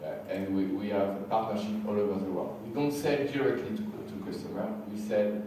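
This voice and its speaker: A man from France with a French accent, slightly sick and losing his voice, giving a conference talk with halting, repeated words. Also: fairly low pitch, steady tone. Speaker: conference speaker. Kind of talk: conference presentation. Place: large hall. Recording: echoey hall from far off, faint hiss.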